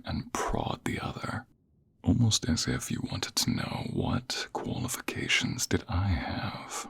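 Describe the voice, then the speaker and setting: A man playing a smug, flirtatious office rival in an intimate ASMR roleplay, taunting in a deep, breathy, gravelly near-whisper. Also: a bit bright, full character voice. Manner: slow, deliberate, taunting, drawn-out phrases. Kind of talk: ASMR romantic roleplay, intimate second-person acting.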